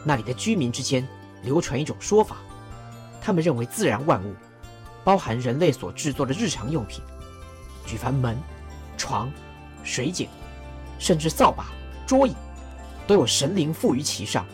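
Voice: medium pitch, big pitch swings, moderate pace, pauses often, darkish tone, soft background music, lightly acted. A performer voicing a learned male court advisor who explains a folk legend in a radio drama. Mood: explaining. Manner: explaining, storytelling.

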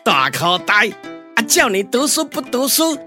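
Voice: medium pitch, big pitch swings, measured pace, pushed and bright, faint music behind. A performer with a Taiwanese accent voicing an emphatic, know-it-all pioneer soldier in a glove-puppet radio drama. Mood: teasing, scolding.

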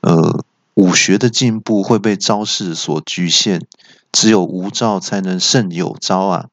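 A man voicing an unhurried, politely teasing monk and martial artist who starts hesitantly and speaks philosophically in a told story. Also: low-pitched, no room sound, dull audio. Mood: calm.

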